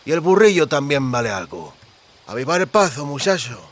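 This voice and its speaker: A male narrator plays a greedy male brigand from a fantasy audiobook. He lisps and smacks his lips, speaking a little high with mocking menace. There is some noise behind him. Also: big pitch swings, normal speed. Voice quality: lisping, lip-smacking. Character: greedy lisping male brigand, lecherous and smirking.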